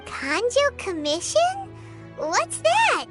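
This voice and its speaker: A woman voicing a tiny, chirpy, inquisitive fairy companion with a squeaky childlike voice in a video game. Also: wildly swooping pitch, slow, fairly bright tone, full character voice. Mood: curious. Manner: chirpy, exclaiming, full of questions. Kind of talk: cartoonish video game dialogue. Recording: music behind the voice.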